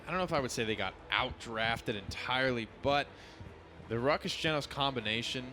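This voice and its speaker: A man's voice: medium pitch, fairly quick, sharp tone, slight background noise. A man co-casting a live esports match in an expo hall, with casual, wry asides. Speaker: esports caster.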